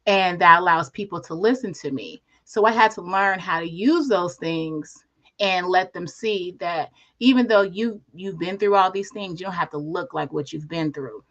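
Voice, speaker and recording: A woman presenting a workshop online with a soothing, even temperament. Her voice sits a little low, and she often checks in with a quick "right?" between points. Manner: soothing, repeated "right?" tags.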